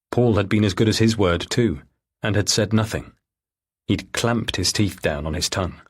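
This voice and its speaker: Low, husky male audiobook narrator. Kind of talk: scripted thriller narration.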